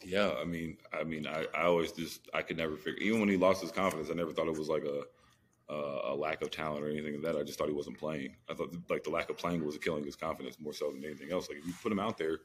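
A man's voice: rumbling low, steady tone, fast, a little hiss or hum. A man co-hosting a sports podcast, chatting casually and slangily about football, repeating phrases for emphasis.

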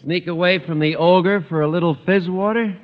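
A man's voice: high voice, steady tone, moderate pace, natural voice. An actor playing a breezy, joshing malt shop man, in radio sitcom style. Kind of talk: 1950s radio sitcom acting. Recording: dull old radio recording.

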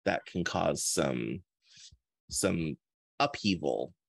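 A man's voice: extremely low pitch, lively intonation, drawn-out words, creaky, no room sound. A man chatting casually as a podcast interview host, often repeating and rephrasing his words as he feels out a thought. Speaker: interview host and fiction author.

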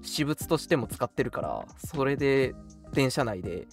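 Young man, very lively pitch, casual chat, agreeable radio co-host, soft background music. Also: moderate pace. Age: young adult. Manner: animated, agreeable listener with repeated backchannels. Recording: background music behind the talk.

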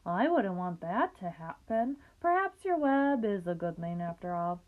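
A woman voicing an innocent, soft-hearted young male pig in a storybook reading, speaking earnestly and plaintively. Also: fairly low pitch, sing-song, a little slow, phone-quality sound.

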